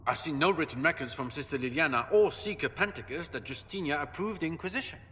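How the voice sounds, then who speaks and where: A man's voice: fairly high pitch, animated, fast talker, bright, forceful tone. A man playing a pompous, indignant marquis in a fantasy video game, speaking with formal, clipped disdain. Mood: defensive. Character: haughty, indignant male nobleman, a marquis. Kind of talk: video game cutscene acting. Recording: long hall reverb.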